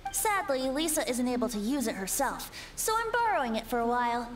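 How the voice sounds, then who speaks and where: A woman's voice: high voice, very animated, a little fast, soft background music. A woman voicing a playful, teasing young male bard in an anime game, boyish and theatrical. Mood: teasing, explaining.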